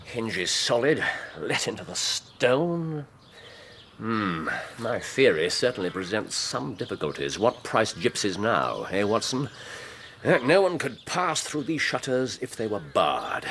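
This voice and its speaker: An actor playing a brisk, keen-minded Victorian gentleman detective in a radio drama, with an English accent and clipped, precise, formal speech. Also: on the low side, sing-song, a little hiss or hum, exaggerated voice. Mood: probing, musing.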